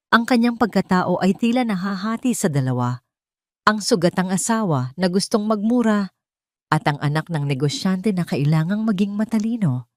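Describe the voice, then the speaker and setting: A woman narrates a scripted romance drama. Her voice sits slightly low, and the recording is close and dry. The delivery is that of a dramatic storyteller. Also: darkish tone, dry recording.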